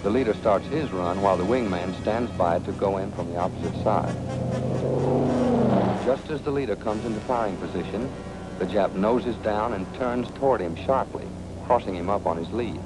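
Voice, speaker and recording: Low-voiced American 1940s film narrator, man. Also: moderate pace, low-quality recording. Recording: 1940s film soundtrack with music.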